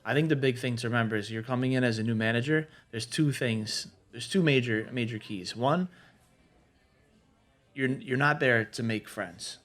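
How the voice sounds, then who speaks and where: A man with a mid-pitched voice gives casual advice in a podcast discussion. He explains things conversationally, setting out his points one after another as he goes. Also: normal speed, a bit bright. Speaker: podcast panelist giving restaurant management advice.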